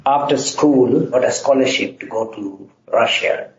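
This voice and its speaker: A middle-aged man from Sri Lanka, answering interview questions in a halting way with many filler sounds. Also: medium pitch. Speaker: guest engineer. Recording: roomy, slightly dull sound.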